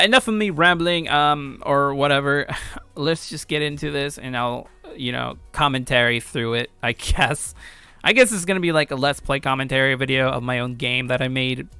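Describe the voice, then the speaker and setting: A man's voice: mid-range voice, pushed and bright, clean tone, no room sound. A young adult man giving casual, rambling let's play commentary as a video host, offhand and self-deprecating about his own work. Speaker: video host and amateur game creator. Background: background music.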